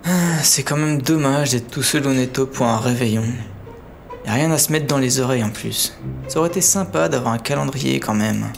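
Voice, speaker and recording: A man plays a good-natured, lonely handyman in a French audio comedy. His voice is mid-pitched with noticeable fry. Soft music runs behind him. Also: a little fast, close to an everyday voice. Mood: lonely, glum. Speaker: amateur audio drama actor.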